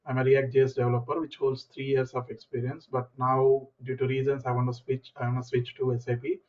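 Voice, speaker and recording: A web developer from India introduces himself casually and hesitantly on a video call. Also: male voice, medium pitch, monotone, brisk. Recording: distant, muffled video call audio.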